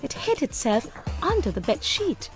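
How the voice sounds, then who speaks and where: A woman's voice: sits high, big pitch swings, moderate pace. A woman narrating scripted fairy tales for young children as a storyteller. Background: music.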